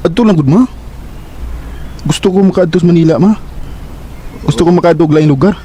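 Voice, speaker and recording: An actor voices a young man in a radio drama who goes from chatting about work to falling in love. He speaks in a fairly high voice, laughs often, and sounds earnest and eager. There is faint background noise. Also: fairly quick, warm tone, natural voice. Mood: hopeful. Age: young adult. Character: young man working in a burger shop, lovesick.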